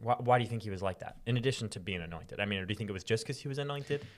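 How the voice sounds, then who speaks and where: Animated man hosting a podcast. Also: fairly low pitch, racing. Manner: slangy, expressive, theatrical when reading. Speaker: podcast co-host. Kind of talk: casual podcast chat with animated Bible reading.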